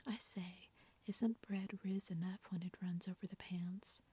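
A woman's voice: fairly low pitch, fairly even intonation, a little slow, dark tone, breathy edge. A woman whispering the lines of a blunt, headstrong teenage girl in a storybook reading. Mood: huffy.

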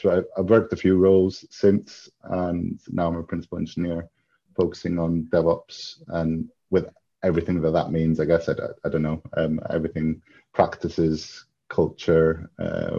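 A man from Greece answering as an interview guest, speaking in a halting, thoughtful, reflective way. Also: deep voice, creaky, dark tone, slightly rough. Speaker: interview guest, software engineer. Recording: dull, muffled lo-fi audio.

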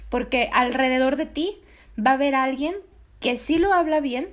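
A woman's voice: high voice, moderate pace. A woman with a Mexican Spanish accent hosting a casual talk with a former student, chatty and teasing, explaining with everyday examples. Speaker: podcast host, former teacher of the guest. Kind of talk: casual chat.

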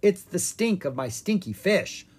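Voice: medium pitch, sing-song, unhurried, a little mellow, breathy edge, voice pushed up. A reader voicing a young boy dragon who is turned into a newt, in a children's storybook. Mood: excited.

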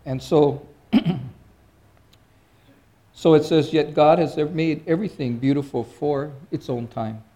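Soft-toned man giving a halting sermon. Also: fairly high pitch, fairly even intonation. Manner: halting, conversational, many restarts and fillers. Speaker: pastor. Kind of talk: informal sermon.

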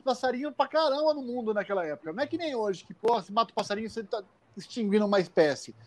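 A man from the Campinas area of Brazil, telling childhood stories in a casual, animated way on a live call, laughing often. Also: high voice, very fast. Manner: animated, laughing, many restarts. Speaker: guest. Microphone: phone on a live stream.

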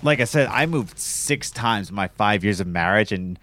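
Low-voiced man losing his voice. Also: lively intonation, moderate pace, fairly bright tone. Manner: rambling, many fillers and restarts, laughs often. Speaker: podcast host. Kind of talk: casual chat.

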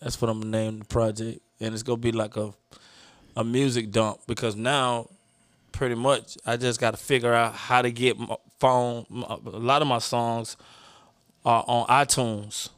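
A man's voice: medium pitch, little pitch movement, normal speed, a bit bright, dry recording. A man co-hosting a podcast, chatting casually about his music in a rambling way, often trailing off and restarting. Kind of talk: casual chat.

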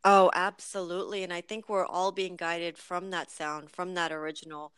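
A woman talking casually in a spiritual conversation, haltingly searching for her words as she goes. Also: fairly low pitch, level tone, fairly bright tone, clear, resonant tone, no room sound.

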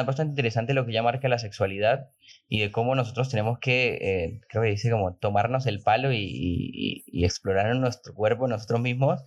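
A man's voice: lowish voice, dull audio. A man hosting a podcast in a casual, conversational way, thinking aloud in an informal, rambling manner. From outside Argentina, moved there. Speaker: podcast host.

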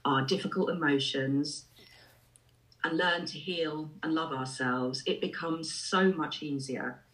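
A woman in her forties with an English accent, born in Ireland, talking as a podcast guest in an expressive, reflective way. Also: sits low, unhurried, poor audio. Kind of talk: warm reflective conversation.

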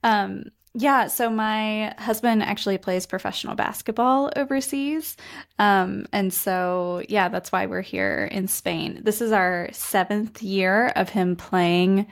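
A woman's voice: medium pitch, slow, creaky. Friendly woman, modest guest. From West Virginia, US. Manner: friendly, modest, laughs easily, many ums. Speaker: guest, entrepreneur. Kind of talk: casual interview chat.

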